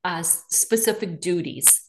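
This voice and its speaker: A woman's voice: lowish voice, lively intonation, a little slow, a little hiss or hum. A woman in her sixties, a real estate agent leading a webinar panel, talking casually from her living room with frequent "you know" fillers. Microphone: video call.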